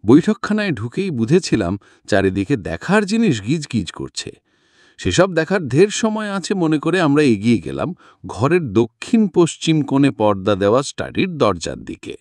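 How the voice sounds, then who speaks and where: Male narrator, scripted mystery storytelling, dramatic, very animated intonation, dry recording. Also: mid-range voice, normal speed, dry recording. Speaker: audiobook narrator.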